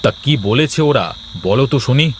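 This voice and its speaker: A man's voice: medium pitch, brisk, a little hiss or hum, close to an everyday voice. A man voicing a reasonable village husband, loyal to his mother, in a Bengali cartoon story. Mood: coaxing.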